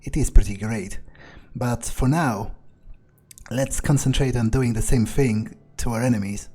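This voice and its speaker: A man voicing a cynical, vengeful vampire in a game roleplay, thinking aloud in a deliberate, halting murmur. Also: medium pitch, darkish tone, breathy edge. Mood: dry, deliberate. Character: brooding, cynical, vengeful male vampire, player's character.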